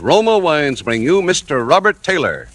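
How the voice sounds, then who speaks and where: A man's voice: on the high side, sing-song, moderate pace. A man giving a sponsor announcement in 1940s radio announcer style. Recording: vintage radio broadcast under loud music. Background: loud music.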